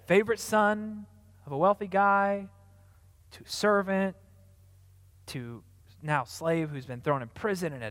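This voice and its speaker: High-voiced man retelling a Bible story. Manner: chatty, animated storytelling. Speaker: preacher. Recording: long hall reverb.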